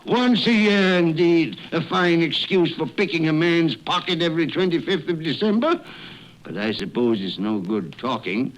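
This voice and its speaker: A man's voice: a little high, animated, moderate pace, natural voice. An actor playing a cold-hearted, miserly old businessman, curt and scornful, in radio drama style. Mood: grudging. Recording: noisy vintage radio recording.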